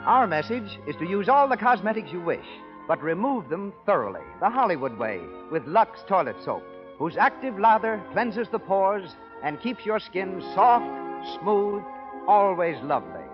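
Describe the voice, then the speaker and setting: A man's voice: sits high, big pitch swings, moderate pace. An American man announcing a 1930s radio show with a polished, declamatory delivery. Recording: dull old 1930s radio broadcast. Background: music.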